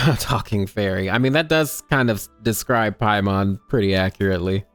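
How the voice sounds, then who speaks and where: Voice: deep voice, moderate pace, faint music behind. A game player giving casual, informal and wry playthrough commentary with some swearing.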